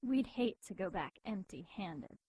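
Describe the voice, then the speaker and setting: A woman's voice: mid-range voice, lively intonation, a little slow, dark tone, slightly rough, dry recording, low-quality recording. An actress playing a teasing, sinister female demon seductress in an audio drama, speaking coyly. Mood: teasing menace.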